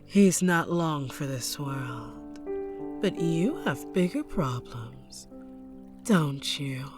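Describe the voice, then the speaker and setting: Woman playing a slow, taunting, low-voiced villain empress. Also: expressive, over music, heavily acted. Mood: menacing. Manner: taunting, theatrical. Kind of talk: dramatic fantasy audio roleplay.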